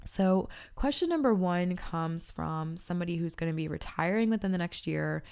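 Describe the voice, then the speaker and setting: A woman's voice: lowish voice, expressive, moderate pace, gravelly, darkish tone, clean tone. A woman hosting a podcast, congested from allergies, giving upbeat, direct advice. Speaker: podcast host. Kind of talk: casual podcast talk and read ads.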